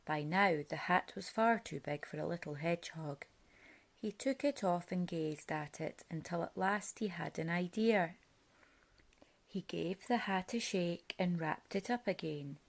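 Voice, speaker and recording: Female storybook reader, lower-pitched, very unhurried, expressive and deliberate delivery for young children. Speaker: parent reading a picture book aloud. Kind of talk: storybook read-aloud for children.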